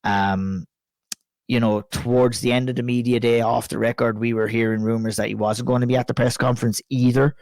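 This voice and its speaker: Irish guy with a croaky flu voice, flat and even, dry close mic, chatty sweary podcast host. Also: mid-range voice, brisk, dry recording. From Ireland. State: hoarse from a flu. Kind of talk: casual podcast chat.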